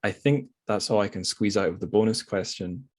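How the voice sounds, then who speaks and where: Low-pitched man hosting a casual podcast. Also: brisk, no echo, clean studio sound. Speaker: podcast host. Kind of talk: casual literary interview.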